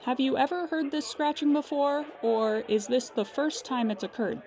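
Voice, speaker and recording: Woman playing a calm, patient hotline operator. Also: high-pitched, normal speed. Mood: calm, polite. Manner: calm customer-service politeness with dry exasperation. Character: weary, patient female emergency hotline operator. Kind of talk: audio comedy drama acting.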